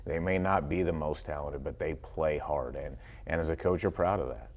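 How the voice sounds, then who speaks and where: A man's voice: rumbling low, normal speed, a little mellow. A man with an American accent, a football coach talking conversationally in a sports interview, with folksy wording and repeated phrases.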